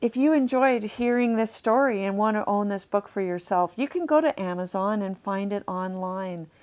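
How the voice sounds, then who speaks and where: A woman narrating a children's storybook aloud, deliberately and expressively, lingering on key words. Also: mid-range voice, a little mellow. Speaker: author reading a children's story.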